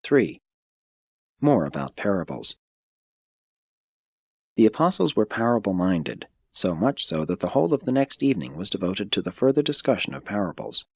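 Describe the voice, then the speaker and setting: A man reading a religious audiobook as a scripted narration, in a measured, formal and instructive manner. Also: on the low side, very animated, slightly rough, no room sound.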